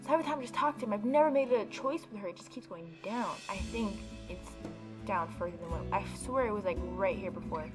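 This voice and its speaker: A woman's voice: medium pitch, fast talker. A woman hosting a gaming video, reading a visual novel aloud and adding informal, opinionated commentary. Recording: background game music behind voice.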